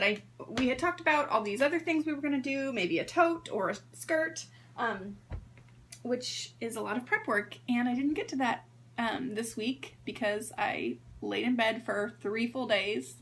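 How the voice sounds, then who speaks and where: A hoarse woman in her thirties chats through a sewing livestream, rambling and greeting viewers as they arrive. Her voice sits a little high, with a faint hum in the background. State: hoarse from strep throat. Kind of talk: casual livestream chat.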